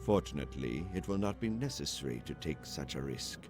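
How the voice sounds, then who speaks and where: An actor playing a calm, calculating alien naval lieutenant who speaks in a measured, formal, commanding way in an audio comic dub. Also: male voice, rumbling low, music in the background.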